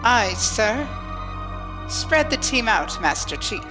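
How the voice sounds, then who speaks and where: A woman performs a formal starship ensign for a sci-fi audio play. Her voice sits on the high side, and she speaks with cool, exact logic. Music plays clearly behind her. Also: sing-song, slow pace. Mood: composed. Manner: precise, formal, unemotional. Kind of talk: sci-fi audio drama acting. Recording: music under the dialogue.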